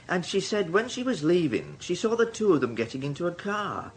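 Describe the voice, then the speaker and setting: An actor playing a probing, insinuating newspaper reporter in radio drama dialogue. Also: male voice, mid-range voice, fairly bright tone, a little hiss or hum. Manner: insinuating, conversational.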